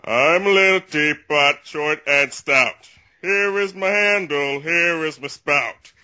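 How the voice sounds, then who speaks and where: A man voicing a gruff, stern, grudging male character in a fan cartoon table read, speaking and half-singing. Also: on the high side, slow pace, sharp tone, full character voice.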